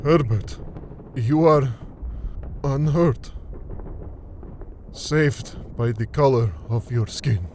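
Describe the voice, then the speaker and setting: A man acting a gentle North African friend in an audio drama, pleading and halting with emotion. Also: mid-range voice, slight background noise. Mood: weak, dying tenderness.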